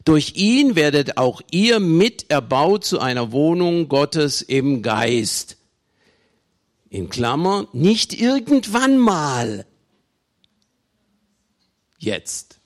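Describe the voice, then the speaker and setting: A man from Germany preaching a sermon, with emphatic delivery, deliberate pauses and conversational asides to his listeners. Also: fairly high pitch, big pitch swings. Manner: emphatic, with dramatic slow phrasing and pauses. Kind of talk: sermon to a congregation.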